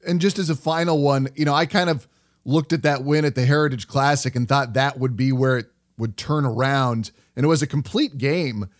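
A man's voice: mid-range voice, normal speed. A man hosting a sports radio interview show. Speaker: podcast host.

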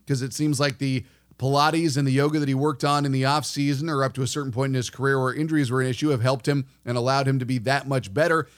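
A man hosting a sports show, talking conversationally as he gives hockey commentary and puts questions to a guest. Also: medium pitch.

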